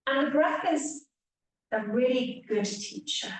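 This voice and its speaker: A woman teacher leading a guided relaxation meditation, speaking gently with long pauses and hushed phrase endings. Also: high voice. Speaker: yoga nidra teacher. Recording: echoey room, distant mic, faint hiss.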